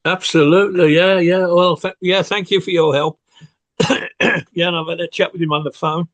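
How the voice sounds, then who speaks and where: An older man chatting casually and haltingly on a football podcast. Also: high voice, steady tone, no echo. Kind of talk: casual chat.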